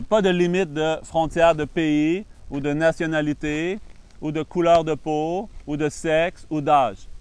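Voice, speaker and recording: A Canadian man with a Québécois French accent, a Buddhist monk giving a calm, patient introductory talk on meditation with frequent pauses. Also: on the high side, clear, resonant tone, no echo.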